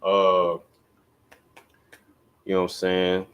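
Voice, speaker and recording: A man's voice: low-pitched, monotone, slow pace, highs cut off. A man leading a livestreamed religious language class. He talks casually and addresses viewers directly, often saying "you know what I'm saying" between instructions.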